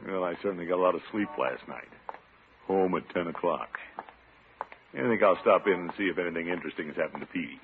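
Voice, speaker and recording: An actor playing a pompous, blustery middle-aged American water commissioner in a classic radio comedy, with a theatrical manner. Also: male voice, sits low, fast. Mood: chatty, grumbling. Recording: tinny, noisy old radio recording.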